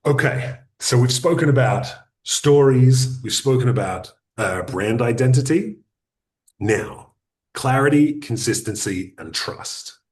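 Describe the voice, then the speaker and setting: Man, mid-pitched, workshop presenter; deliberate, pause-heavy, conversational teaching delivery. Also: animated, darkish tone. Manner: deliberate, uses pauses and restarts; addresses audience directly with practical advice. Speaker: podcasting workshop speaker.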